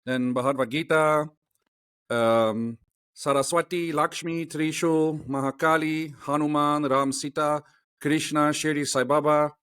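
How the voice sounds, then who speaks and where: A man's voice: a little high, flat delivery, measured pace, a bit bright, clear, resonant tone. A man talking casually over a video call as a podcast guest, telling his story and acting out the dialogue he quotes.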